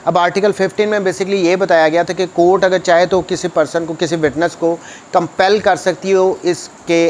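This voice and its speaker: A man with a Pakistani accent giving an explanatory law lecture, reading passages aloud and explaining them, switching between two languages and repeating his points. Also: fairly high pitch. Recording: noticeable background noise.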